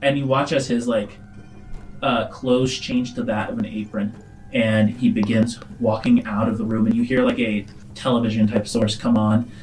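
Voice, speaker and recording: A man's voice: fairly low pitch, level tone, normal speed, darkish tone, faint music behind. A man narrating a tabletop role-playing game as the game master.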